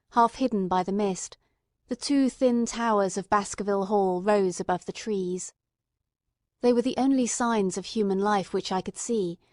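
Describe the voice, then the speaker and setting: A woman narrates a classic novel in a mid-pitched voice, recorded close and dry. Her delivery is measured and expressive, shaped as scripted storytelling. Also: dry recording. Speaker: audiobook narrator.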